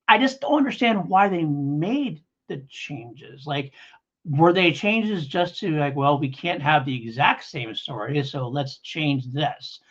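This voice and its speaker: Husky man chatting casually on a podcast. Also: a little high, animated, slow pace. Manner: rambling, hedging, conversational. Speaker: podcast co-host. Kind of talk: casual podcast review chat. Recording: dull, lo-fi podcast audio.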